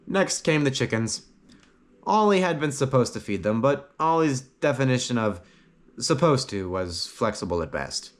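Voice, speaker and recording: Male audiobook narrator, animated pitch, dramatic phrasing with deliberate pauses. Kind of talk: scripted story narration.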